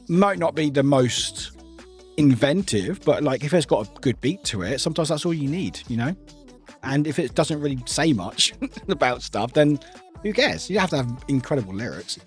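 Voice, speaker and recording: Chatty streamer with lively pitch. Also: male voice, moderate pace, soft background music. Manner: rambling, chatty, laughs often. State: slightly croaky voice. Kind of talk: casual livestream chat.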